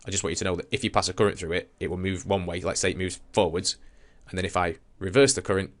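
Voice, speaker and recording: A man with a low voice gives an informal science lesson. He explains things conversationally, as a teacher does when thinking aloud through a demonstration. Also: fast talker.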